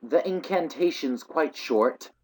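A man's voice: a little high, expressive, takes it slowly, voice pushed up, poor audio. A man putting on a brash young schoolboy. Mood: boastful. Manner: brash, boastful. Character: bold, cheeky young English schoolboy. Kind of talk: audiobook character voice.

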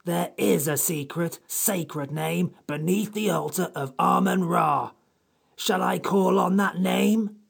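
A grumpy old magical sand creature, croaks in a high, heavily gravelled rasp. It talks slowly and with superior, dry authority, like a storybook oracle. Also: male voice, exaggerated voice. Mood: menacing, superior. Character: grumpy, knowing ancient sand-fairy creature. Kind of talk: dramatized children's storybook reading.

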